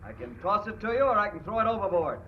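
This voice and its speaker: A man's voice: high voice, expressive, fast. An actor playing a cocky, cold gunman with a sneering, mock-friendly manner in an old-time radio drama. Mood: bargaining. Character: cocky gun-wielding male villain, mocking and cold. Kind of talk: 1940s radio drama acting. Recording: muffled, noisy old radio recording.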